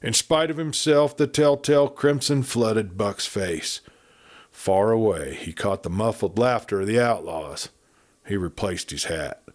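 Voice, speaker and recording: A man reading a Western novel aloud in a low, measured storytelling voice. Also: expressive, darkish tone. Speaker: audiobook narrator.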